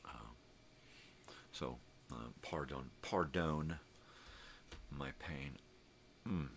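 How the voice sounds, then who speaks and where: Very deep, gravelly older man rambling. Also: fairly bright tone, a little hiss or hum. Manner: rambling, drawn-out, mild swearing. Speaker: vlog host. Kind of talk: casual rambling vlog chat.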